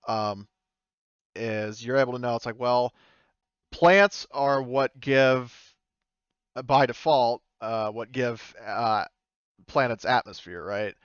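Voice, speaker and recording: A man's voice: medium pitch, slow, pauses often, clean tone, no echo, highs cut off. A man acting as game master, narrating casually and informally with some swearing.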